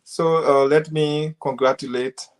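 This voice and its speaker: A young man from Liberia, a graduate student, speaking as a guest on a panel. He talks in a polite, measured way, with some "uh" fillers. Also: a little high, fairly even intonation, clear, resonant tone. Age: young adult. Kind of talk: formal greeting and self-introduction.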